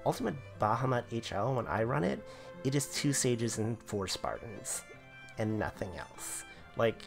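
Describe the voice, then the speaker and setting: A man reviewing a game class in casual video commentary, his voice going hoarse, rambling with lots of fillers. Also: on the low side. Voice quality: gravelly, voice wearing thin. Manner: rambling, filler-heavy explanations. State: losing his voice.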